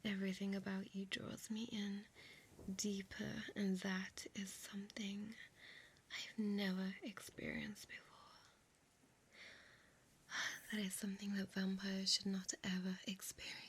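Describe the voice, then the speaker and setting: A woman playing a centuries-old, possessive female vampire in an intimate audio roleplay, speaking tenderly in a breathy, hushed whisper. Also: mid-range voice, level tone, moderate pace. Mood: hungry, enthralled.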